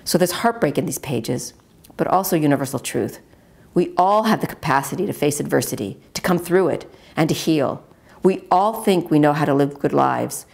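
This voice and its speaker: A middle-aged American woman reading her own memoir aloud in a measured, earnest manner. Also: low-pitched, expressive, creaky, darkish tone. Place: at a wooden table in a home dining room.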